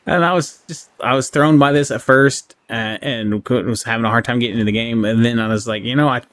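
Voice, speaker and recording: A man's voice: mid-range voice, brisk, a bit bright. A man giving a casual game review, rambling and thinking aloud as he goes, with an easy readiness to chuckle. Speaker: gaming channel host. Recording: clearly audible background noise.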